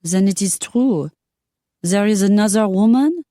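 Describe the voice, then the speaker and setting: A woman's voice: medium pitch, unhurried, mellow, dry recording, clean studio sound, voice pushed up, natural voice. A woman voicing a vain, jealous Frenchwoman from Paris with a French accent and dramatic, haughty delivery.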